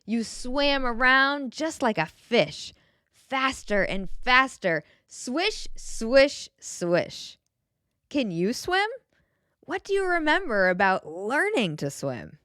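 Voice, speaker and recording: Woman reading a kids book out loud, gentle and really lively up and down, dry close sound. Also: fairly high pitch, slow pace, no echo. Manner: gentle, expressive, clearly enunciated storybook delivery. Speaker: children's picture book narrator. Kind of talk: read-aloud storytelling with questions to the child listener.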